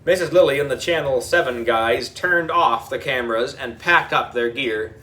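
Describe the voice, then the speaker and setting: A man's voice: medium pitch, moderate pace, bright, forceful tone, distant mic. A man narrating a children's audiobook in a playful, exaggerated storytelling manner. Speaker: audiobook reader.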